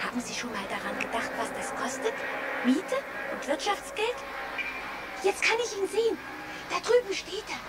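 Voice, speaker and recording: A woman playing a pert, outspoken and lively little girl in a 1960s German children's radio play. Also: very high voice, normal speed. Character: cheeky, lively little girl from a well-off family. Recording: noisy old vinyl, somewhat distant.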